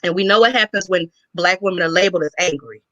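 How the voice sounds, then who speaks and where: A woman's voice: lowish voice, quick pace, fairly bright tone, no echo. A woman calling in as a guest, giving earnest, emphatic commentary. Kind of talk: impassioned commentary. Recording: dull lo-fi call audio.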